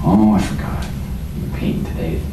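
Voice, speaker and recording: A man playing a nervy, bullying small-time crook in an old-style radio crime drama, pushy and stammering, in rough street slang. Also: normal speed, close to an everyday voice. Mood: scheming, tense. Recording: echoey, distant, noisy hobby radio recording.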